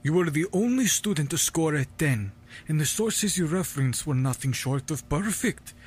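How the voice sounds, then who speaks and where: A man playing a guarded, learned university professor in an audio drama, speaking formally and with measured courtesy. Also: a little high, lively intonation, slightly rough. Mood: courteous. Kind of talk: scripted audio drama acting.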